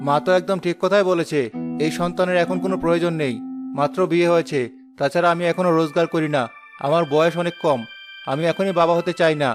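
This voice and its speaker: Man acting fast-talking callous young husband. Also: a little high, fairly even intonation, close to an everyday voice. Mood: dismissive. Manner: curt, stern. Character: callous young husband, obedient to his mother. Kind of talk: cartoon voice acting. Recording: music behind the voice.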